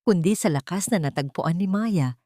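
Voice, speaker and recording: A Filipino woman narrates an emotional audio story in a low-pitched voice. Her delivery is measured and solemn with a sentimental touch, captured in a dry, close recording. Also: animated, warm tone, no room sound. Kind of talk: dramatic scripted Tagalog story narration.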